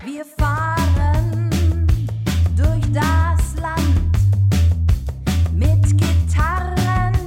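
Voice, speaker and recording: Woman singer, very high voice, chanted and sung verses, satirical pop, loud instrumental backing. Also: flat delivery, extremely slow, pauses often, loud music behind the voice.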